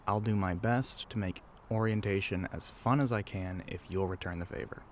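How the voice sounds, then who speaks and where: A man playing a low-voiced, teasing young tour guide. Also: moderate pace, slight background noise, lightly acted. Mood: awkward, wry. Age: young adult. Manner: casual, chatty, teasing, sighs between remarks. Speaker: audio roleplay voice actor. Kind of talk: scripted roleplay addressed to the listener.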